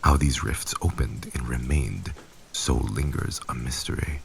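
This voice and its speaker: A man's voice: rumbling low, a little slow, creaky, slight background noise, full character voice. A man playing a heartless vampire lord and crime boss in a fantasy audio roleplay, speaking in a pompous, archaic, menacing way. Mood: grave, instructive.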